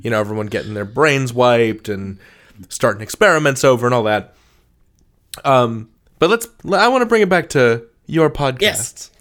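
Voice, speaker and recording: A man's voice: mid-range voice, lively intonation, normal speed, fairly bright tone. A man with a mid-range voice hosts a casual podcast interview. He is conversational and thoughtful, laying out his points and questions at some length.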